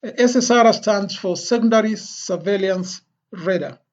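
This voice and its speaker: An instructor giving an explanatory lecture in a deliberate, phrase-by-phrase way with pauses. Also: mid-range voice. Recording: dull, lo-fi voice recording.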